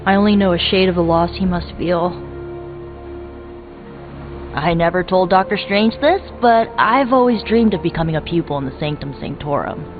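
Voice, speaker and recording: A woman's voice: lowish voice, normal speed, close to an everyday voice. A woman voicing a young, idealistic witch in a video game, earnest and melodramatic. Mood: sorrowful, wistful. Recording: tinny band-limited game audio over music.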